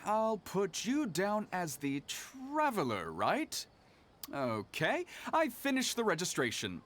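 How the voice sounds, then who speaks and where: An actor playing a courteous, businesslike guild receptionist in a video game, explaining things methodically. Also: male voice, fairly high pitch. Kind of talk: scripted game dialogue.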